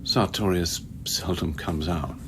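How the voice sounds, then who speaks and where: Cagey, low-voiced, world-weary scientist. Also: male voice, background noise. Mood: evasive. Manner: cagey, sardonic, halting, theatrically wordy. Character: evasive, cynical, world-weary male scientist on a remote station. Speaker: actor in a radio play. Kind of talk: radio drama acting.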